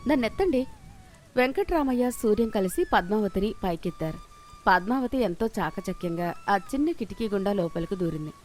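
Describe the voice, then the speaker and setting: A woman narrating a scripted moral story as its storyteller. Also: mid-range voice.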